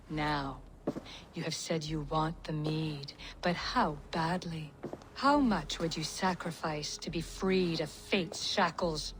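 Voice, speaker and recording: A woman playing a cunning, vengeful witch in a video game, speaking in a deliberate, taunting and threatening way. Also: low-pitched, noisy background. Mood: sly, taunting. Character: menacing, cunning female witch, vengeful mother of a monster. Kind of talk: video game cutscene dramatic acting.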